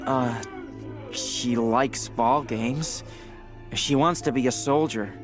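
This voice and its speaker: An actor playing a humble, anxious brother in a video game, hesitant and earnest. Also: male voice, medium pitch, slow pace, over music, close to an everyday voice. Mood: grateful, hesitant. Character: humble, grateful, anxious brother of sick girl. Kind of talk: cinematic video game acting.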